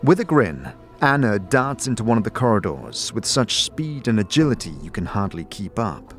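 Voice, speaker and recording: A man narrates a dramatic fantasy tale in a low voice that moves expressively up and down. He describes each scene like a storyteller, with music playing faintly behind him. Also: sing-song, normal speed. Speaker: narrator and game master of an audio drama. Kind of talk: scripted second-person fantasy narration.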